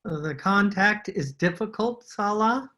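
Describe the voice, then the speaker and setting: A man playing a formally polite, quietly sinister séance host in an old-time radio drama, over distant-sounding call audio. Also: sits high, lively intonation, takes it slowly, full character voice. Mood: solemn. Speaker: amateur radio drama player.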